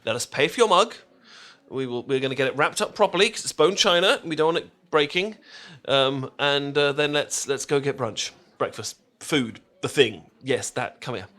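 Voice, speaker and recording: An affectionate English boyfriend voiced by a man for a romantic roleplay audio. He has a medium-pitched British voice and chats eagerly, stammering and doubling back on himself. He comes across as bashful, fond and very keen on his tea. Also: moderate pace, pushed and bright, close to an everyday voice. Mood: enthusiastic, teasing. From England. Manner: hesitant, stammering, conversational, enthusiastic.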